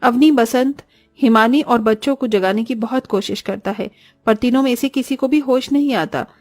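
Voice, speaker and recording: A woman's voice: on the high side, normal speed, darkish tone, dry recording. A woman narrating a scripted children's cartoon story as storyteller. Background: faint music.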